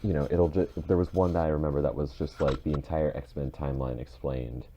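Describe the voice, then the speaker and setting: A man with a very deep voice and an extremely dark, mellow tone co-hosts a podcast. He talks casually and conversationally. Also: steady tone, brisk, muffled recording, slight background noise. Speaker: podcast co-host.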